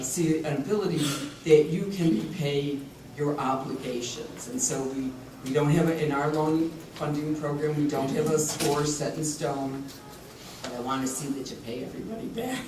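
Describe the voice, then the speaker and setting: A higher-voiced man pitches his loan program with an upbeat, joking manner. He sounds distant in an echoey hall with faint background noise. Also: a little mellow. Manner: upbeat, jokey, pitching services. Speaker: lending program director on a panel. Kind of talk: panel discussion, informational.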